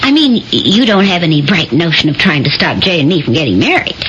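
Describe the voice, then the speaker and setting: An actress playing an affectionate young bride-to-be sister in a 1970s radio drama, playful and gently bossy. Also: female voice, fairly low pitch, very animated, fast. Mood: fond, firm. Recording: dull, hissy old radio broadcast recording.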